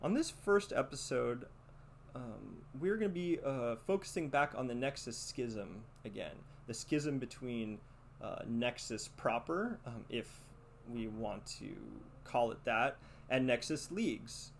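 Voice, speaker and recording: A man hosting a podcast monologue, speaking in a halting, deliberate and reflective way. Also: mid-range voice, animated, gravelly. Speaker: podcast host. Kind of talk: podcast monologue with read-out letter and commentary. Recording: noticeable background noise.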